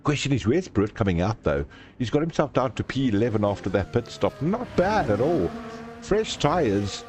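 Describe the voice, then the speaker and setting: A man's voice: mid-range voice, very animated, moderate pace, a little mellow, a little hiss or hum. A man hosting a live sim racing stream, calling the race and joking with viewers in the chat. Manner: jokey, chatty, reads viewer comments aloud.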